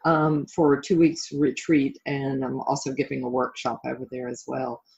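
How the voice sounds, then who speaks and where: Middle-aged American woman, husky voice, reflective interview guest, slightly dull lo-fi recording. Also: rumbling low, level tone, normal speed, a little mellow. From southern United States (Florida). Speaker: interview guest, life coach. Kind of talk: reflective interview talk about own life.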